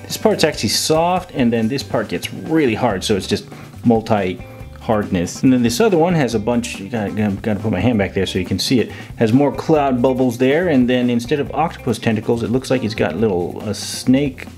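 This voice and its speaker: Lively, animated man reviewing toys. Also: medium pitch, a little fast, faint music behind. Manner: playful, silly made-up words and sound effects. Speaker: toy reviewer and video host. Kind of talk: casual, jokey action figure review voice-over.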